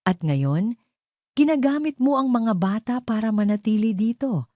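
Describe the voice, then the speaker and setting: A woman's voice: fairly high pitch, lively intonation, normal speed, dark tone, no room sound, pitched above the performer's own voice, lightly acted. Audio drama lead, acted by a female narrator: bitter, cold-hearted rich boss, scornful and accusing, dry close sound. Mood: cold anger. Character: cold, bitter, betrayed male billionaire boss.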